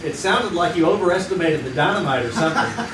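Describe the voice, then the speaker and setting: An actor playing a curious friend who eagerly prods for the story in a live radio play. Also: male voice, fairly high pitch, lively intonation, quick pace, close to an everyday voice. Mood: amused. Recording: roomy live stage, distant mic, noisy.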